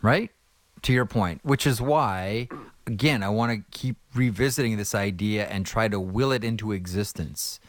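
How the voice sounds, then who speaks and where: A man's voice: fairly low pitch, normal speed, no echo. A man with a nasal voice hosting a podcast, playful and enthusiastic in casual chat. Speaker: podcast host.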